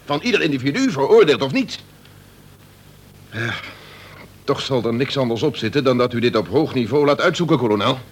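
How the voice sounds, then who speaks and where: An actor playing an earnest, deferential prison major, second in command, in a Dutch radio drama. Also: male voice, medium pitch, lively intonation, fairly quick, pauses often, husky. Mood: earnest, concerned. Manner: earnest, tentative with his superior.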